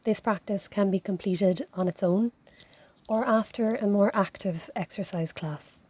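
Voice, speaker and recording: A woman with an Irish accent leading a guided meditation as an instructor, speaking in a gentle, soothing, unhurried manner. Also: medium pitch, slightly rough, no echo. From Ireland. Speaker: physiotherapist and yoga instructor leading relaxation.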